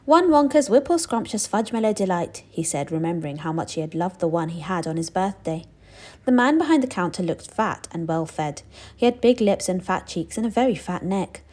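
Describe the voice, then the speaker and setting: A woman narrating a children's book aloud in an expressive, deliberate storytelling style. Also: fairly low pitch.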